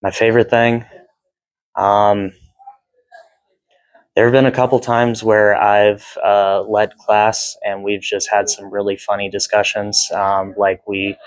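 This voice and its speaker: A young man, a student, answering casually with a flat, unhurried delivery. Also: on the low side, dry recording, rough recording.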